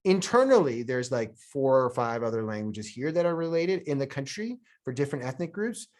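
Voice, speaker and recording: Middle-aged man from Canada, interview guest; chatty, self-correcting, mid pitch, video-call sound. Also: very animated, brisk, darkish tone. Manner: rambling, self-correcting, chuckling. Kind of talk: casual interview conversation. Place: at home abroad, remote call.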